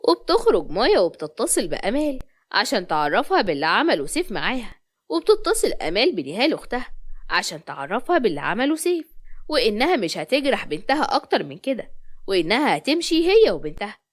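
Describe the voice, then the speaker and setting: A woman's voice: on the high side, sing-song, fast. A woman with an Egyptian accent narrating a scripted dramatic story as a storyteller.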